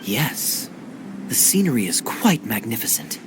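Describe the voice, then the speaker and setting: A man's voice: medium pitch, sing-song, measured pace, noisy background. A man playing a genial, old-fashioned gallant adventurer in a video game, speaking with florid, grand courtesy. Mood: admiring. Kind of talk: animated game cutscene acting.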